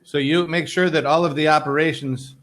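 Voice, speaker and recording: A man's voice: fairly high pitch, steady tone, fairly quick, a bit bright. An American man tutoring English on a video call, friendly and easygoing, rephrasing things simply for his student. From United States. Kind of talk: casual online tutoring chat.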